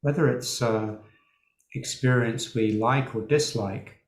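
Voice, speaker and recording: A man leading a guided meditation as a teacher, speaking in an unhurried way with long pauses. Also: medium pitch. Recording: roomy, echoing sound.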